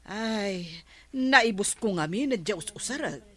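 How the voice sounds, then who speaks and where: A woman's voice: mid-range voice, expressive, a little slow, sharp tone. An actress playing a vain village woman obsessed with whitening her skin in a radio comedy drama, with an Ilocano accent. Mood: vain, casual.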